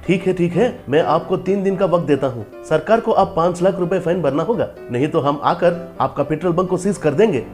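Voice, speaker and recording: A man's voice: fairly high pitch, a little fast, few pauses, a little mellow, soft background music, close to an everyday voice. A man voicing a stern policeman in a cartoon story, curt and authoritative. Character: stern, official male policeman.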